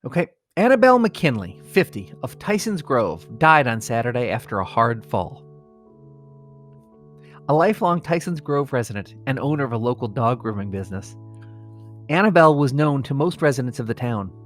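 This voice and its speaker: Middle aged guy on a comedy podcast, kinda mock serious, really lively up and down voice, some music under it. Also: mid-range voice, moderate pace. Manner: breaks into laughter while trying to read gravely. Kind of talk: mock-solemn reading of comic obituaries and casual chat.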